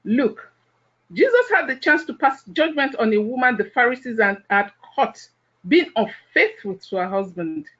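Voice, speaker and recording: A woman's voice: on the high side, sing-song, normal speed, fairly bright tone, highs cut off. A woman playing a haughty, judgmental gossip in a biblical audio play, condescending and full of disapproval. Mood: scornful.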